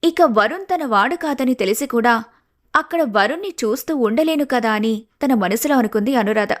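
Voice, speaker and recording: A woman's voice: a little high, animated, moderate pace. A woman narrating a scripted family story as the storyteller.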